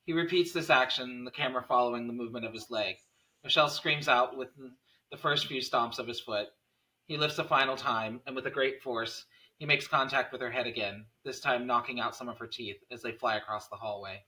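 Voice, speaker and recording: A man's voice: mid-range voice, fairly quick, sharp tone, low-quality recording. A man reading scene headings and stage directions as narrator at a script table read.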